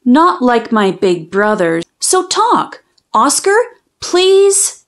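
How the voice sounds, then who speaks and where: A woman reading a children's picture book aloud in an expressive, sing-song storytelling manner, drawing out the rhyming lines. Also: fairly high pitch, drawn-out words.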